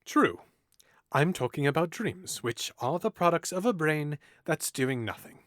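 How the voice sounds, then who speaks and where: An actor playing a witty, mocking young nobleman in an audio drama, playful and theatrical, with hushed and loud moments. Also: on the high side, expressive, measured pace, no room sound, studio quality.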